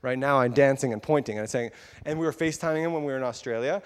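A man preaching a sermon, telling personal stories in a lively way and acting out what people said. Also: fast. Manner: animated, acts out quotes with big pitch jumps. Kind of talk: storytelling sermon. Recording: long hall reverb.

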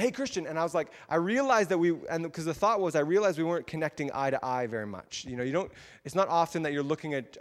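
Animated male preacher in a reverberant hall. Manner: animated, acts out quotes with big pitch jumps. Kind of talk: storytelling sermon.

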